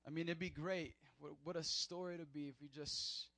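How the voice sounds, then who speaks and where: A man preaching a sermon as pastor in a church, animated, acting out short skits in other voices. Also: fairly high pitch, moderate pace, no echo.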